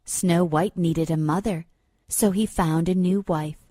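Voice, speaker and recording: A woman's voice: fairly low pitch, fairly even intonation, measured pace, heavy background noise. A woman storyteller giving a scripted, rhyming fairy-tale narration for children. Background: loud music with chimes.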